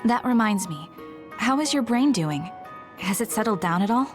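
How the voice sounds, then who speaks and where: An actress playing an earnest, composed young psychic soldier in a video game, speaking in a measured, serious way. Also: female voice, fairly high pitch, lively intonation, over music, natural voice. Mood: sincere, caring. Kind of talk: English video game dub acting.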